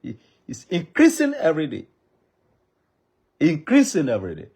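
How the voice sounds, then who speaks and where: A man's voice: a little high, huge pitch swings, normal speed, creaky, a little mellow, slightly rough. A Nigerian man with a Yoruba accent, a native Yoruba speaker, makes an impassioned public appeal in a pleading, repetitive cadence like a preacher. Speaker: traditional ruler addressing the public.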